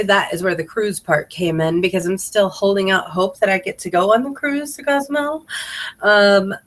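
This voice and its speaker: A woman vlogger talks in a casual, bubbly way with a mid-pitched voice. She sounds a little stuffed up from allergies. Her delivery is enthusiastic and wandering, as if chatting to her sales team in a training video. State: slightly congested from allergies.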